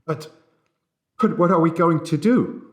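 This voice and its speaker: A man's voice: on the high side, measured pace, voice pushed up, lightly acted. A man voicing a panicky, demanding train passenger in an audiobook, stammering and urgent. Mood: alarmed, demanding.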